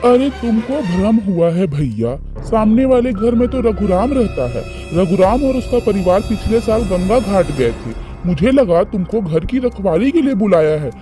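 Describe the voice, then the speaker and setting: A man's voice: high voice, animated, moderate pace, hardly any pauses, warm tone. A man voicing a gossipy village neighbour who confides and advises in a children's cartoon story. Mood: dismissive. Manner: chatty, confiding. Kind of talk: animated children's moral story dialogue. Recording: music behind the voice.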